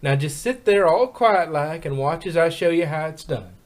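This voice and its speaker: A woman's voice: low voice, animated, normal speed. A woman voicing a blunt, no-nonsense young farm pony in a fan fiction reading, with brusque country phrasing. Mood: exasperated.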